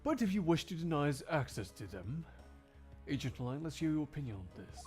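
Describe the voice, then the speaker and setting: A man playing a cool, cerebral investigator with a measured, formal, confident delivery in a game fandub. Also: fairly high pitch, sing-song, a little mellow. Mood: composed, pointed. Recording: music behind the voice.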